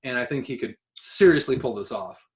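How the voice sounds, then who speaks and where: A man with a mid-pitched voice talks as a podcast co-host. His delivery is casual and conversational, full of firm opinions and easy swearing. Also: animated, a little fast. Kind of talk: casual podcast chat about films and games.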